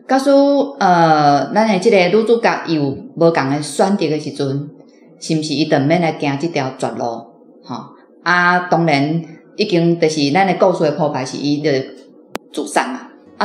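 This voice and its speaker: A woman with a Taiwanese accent, a reading club member, giving informal commentary on a story. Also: sits low. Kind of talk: informal spoken book commentary. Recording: roomy sound, faint music.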